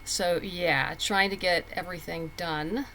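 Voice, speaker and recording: A woman's voice: lowish voice, steady tone, a little slow, sharp tone, a little hiss or hum. A middle-aged woman talking casually as an interview guest, chatty and candid with emphatic phrasing. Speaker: podcast guest, comic book writer.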